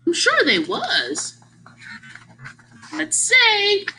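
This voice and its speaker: A woman's voice: very high-pitched, wildly swooping pitch, drawn-out words, sharp tone, slight background noise. A woman reading a picture book aloud like a teacher, with exaggerated emphasis, putting questions to young listeners.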